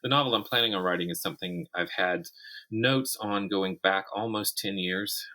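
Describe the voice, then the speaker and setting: A man's voice: low voice, moderate pace, pushed and bright, rough recording. A man speaking as a guest author in a casual interview, often restarting and repeating words as he thinks aloud.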